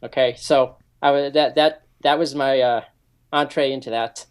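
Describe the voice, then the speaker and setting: A man's voice: mid-range voice, steady tone, moderate pace, clean tone. A man in his forties, a security consultant, earnestly explaining his background in a radio interview with frequent ums. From United States. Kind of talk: interview answers.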